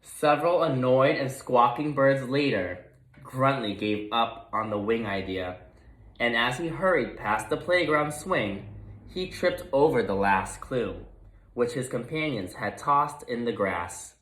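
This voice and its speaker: A man's voice: medium pitch, animated, measured pace, fairly bright tone. A man narrating a children's picture book expressively as a read-aloud storyteller, in a roomy recording.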